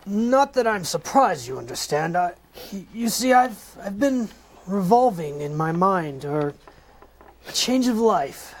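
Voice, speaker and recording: A man's voice: sits high, sing-song, unhurried, exaggerated voice. A man doing a stammering old miser. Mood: fussy. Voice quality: hesitant, stammering. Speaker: lead actor in a comedy reading. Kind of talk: amateur comedic radio play reading.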